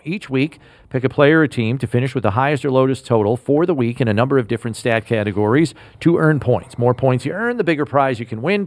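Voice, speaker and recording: Man, sports podcast host, upbeat quizmaster, lively swinging pitch, dry close sound. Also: no room sound.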